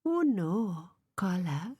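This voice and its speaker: A woman's voice: on the low side, sing-song, very slow, dark tone, breathy edge, heavily acted. A woman doing a sly servant. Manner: sly, knowing, gossipy. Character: gossiping sly West Indian servant woman.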